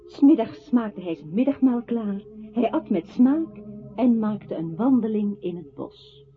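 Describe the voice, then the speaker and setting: An actress in a radio play voicing a solemn, poetic narrator with a measured, literary delivery. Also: female voice, fairly high pitch, lively intonation, soft background music. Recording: thin phone-like radio sound, faint noise.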